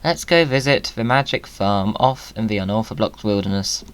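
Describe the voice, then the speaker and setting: A man's voice: on the low side, normal speed, smooth, dry recording. A British man hosting a gaming video, chatting to viewers in a playful, whimsical way with exclamations.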